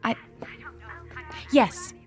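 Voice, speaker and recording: A woman's voice: drawn-out words, whispered, faint music behind. An actress playing an earnest, determined young woman, a student in a theater production, in a radio drama.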